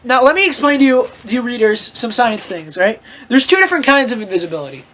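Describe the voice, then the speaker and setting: A host reading fan fiction aloud for comedy, in an animated, mock-lecturing way with frequent asides. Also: medium pitch, fast talker, poor audio. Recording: clear background noise with clicks.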